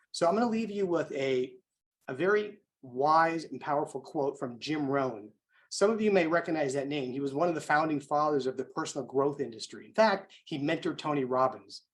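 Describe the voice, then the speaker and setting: An American man speaking as an event host, delivering an emphatic, rhetorical motivational introduction with deliberate phrasing. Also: a little high. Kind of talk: motivational speech introducing a guest.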